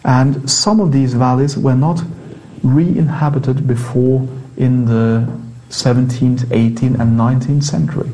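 Man, Norwegian accent, native Norwegian speaker, priest, dark mellow tone, medium pitch, halting conversational explanation. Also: fairly even intonation, rough recording. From Norway.

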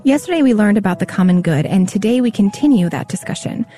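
A woman announcer reading scripted show credits and an introduction in a measured way. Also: medium pitch, animated, warm tone, faint music behind. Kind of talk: scripted narration.

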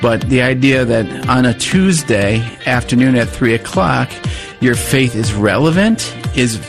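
A man's voice: medium pitch, a little slow, over music. Male guest telling stories. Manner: anecdotal, animated storytelling. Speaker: radio guest, media CEO. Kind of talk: conversational radio interview.

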